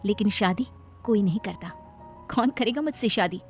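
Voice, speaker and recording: A woman's voice: medium pitch, expressive, unhurried. A woman voicing a proud, wary young village dancer in a storybook tale, sceptical and challenging. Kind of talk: storybook character dialogue. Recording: background music under the voice.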